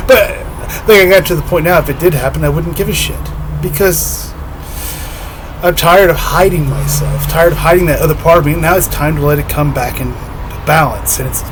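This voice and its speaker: A man talking slowly and dreamily to the camera, giggling softly and trailing off, his voice slightly hoarse. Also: fairly high pitch, background noise. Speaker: spiritual vlogger. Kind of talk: reflective solo monologue.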